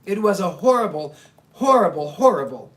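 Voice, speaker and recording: A man reading the part of a horrified, morally appalled gentleman doctor in a storybook. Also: high voice, very animated, moderate pace, low-quality recording.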